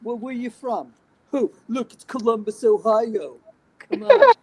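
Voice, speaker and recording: A man putting on a pushy old Lower East Side New Yorker who wisecracks and haggles like a street peddler, in a put-on New York accent. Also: sing-song, measured pace, no room sound, exaggerated voice, low-quality recording. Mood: mock-indignant. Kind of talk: comic improv bit on a chat stream.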